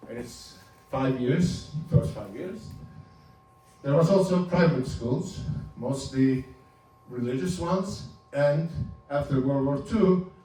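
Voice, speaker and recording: A man in his seventies, a native Ladino speaker from Turkey, giving an informal talk over slides, halting and restarting with small chuckles. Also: mid-range voice, expressive, dark tone. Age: 70s. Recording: roomy, distant, faint hum.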